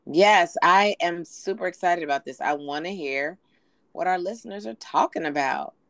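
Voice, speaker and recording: A woman co-hosting a casual podcast, hoarse from a recent illness, chatting with lots of "um"s and "you know"s. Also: fairly low pitch, normal speed, a bit bright, dry recording. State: hoarse from being sick. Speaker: podcast co-host.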